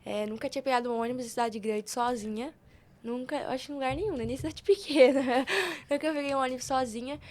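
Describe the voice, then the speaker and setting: A young woman with a high voice and a Brazilian Portuguese accent. She tells stories in an informal, animated podcast style, slipping into quoted dialogue as she goes. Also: fast talker. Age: young adult. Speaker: podcast guest, youth institute member. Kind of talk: casual storytelling on a podcast.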